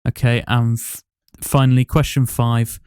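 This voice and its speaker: A man's voice: mid-range voice, level tone, measured pace, a little mellow, smooth, dry recording, studio quality. A man hosting a casual podcast quiz, reading out questions and answers. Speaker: podcast host and quizmaster.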